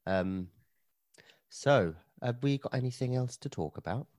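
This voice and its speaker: A man's voice: medium pitch, lively intonation, a little slow, a little mellow. A man, co-hosting a podcast in casual chat, dry and joking, a bit hungover. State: slightly hungover. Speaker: podcast co-host.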